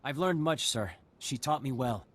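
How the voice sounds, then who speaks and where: An actor playing an eager, deferential militia recruit in a video game, speaking respectfully and earnestly. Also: male voice, medium pitch, lively intonation, normal speed, lightly acted.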